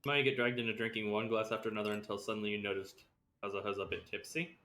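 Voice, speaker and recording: A man's voice: lowish voice, level tone, quick pace, sharp tone, a little hiss or hum. A man giving casual live commentary as he streams a video game.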